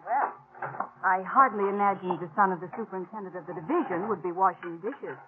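An actress playing a proud, headstrong young woman in a 1950s radio western, with an assertive, sharp-tongued manner. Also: mid-range voice, brisk, lightly acted. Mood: cool, assured. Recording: tinny, noisy 1950s radio recording.

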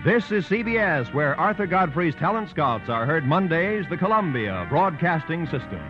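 A male network announcer in old-time radio style, with a fairly high, animated voice. His delivery is polished and scripted, on a dull broadcast recording with faint music. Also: moderate pace. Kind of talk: 1940s radio announcing, scripted credits and promos.

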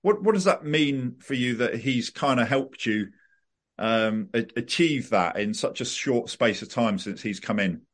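A man's voice: mid-range voice, animated, measured pace. A male podcast host guiding a football interview in a mid-range voice. He asks long, layered questions and circles back over his words as he builds them.

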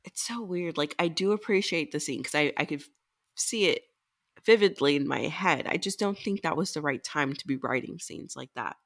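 A woman's voice: deep voice, animated, normal speed. A woman co-hosting a podcast, speaking in casual conversation. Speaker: podcast co-host. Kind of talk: casual chat.

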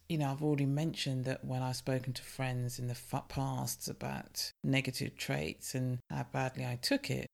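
A middle-aged British woman hosts a solo podcast on her own. Her voice is strong, low-set and commanding, with a noticeable gravelly creak. She talks candidly and with emphasis, addressing the listener directly. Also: darkish tone. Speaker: podcast host. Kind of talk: personal development podcast monologue.

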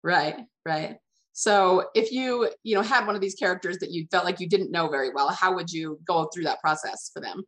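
A woman's voice: on the low side, moderate pace, a bit bright. A woman hosting a conversational interview, responding in an encouraging, affirming way and asking follow-up questions. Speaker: podcast host, interviewer.

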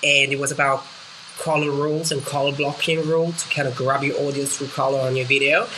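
A woman's voice: extremely low pitch, level tone, fairly quick, a bit bright. A woman co-hosting a podcast, talking with enthusiasm in long run-on sentences. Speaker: podcast co-host. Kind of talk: casual interview chat. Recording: noisy background.

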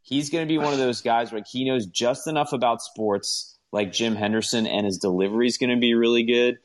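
A man's voice: medium pitch, brisk. A man co-hosting a sports podcast, chatting casually in a loose, rambling, conversational way. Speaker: podcast co-host.

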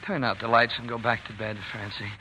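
An actor playing a gentle, weary father with a halting way of talking in a 1940s radio drama. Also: mid-range voice, level tone, lightly acted. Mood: weary, subdued. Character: gentle, weary, ailing Irish-American father of a girl. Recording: noisy old 1940s radio broadcast.

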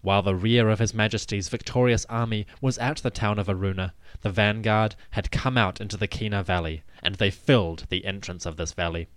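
A man giving a scripted, dramatic narration of ancient history in a measured, solemn storytelling manner. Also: lowish voice, fairly even intonation, no room sound. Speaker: podcast host and narrator.

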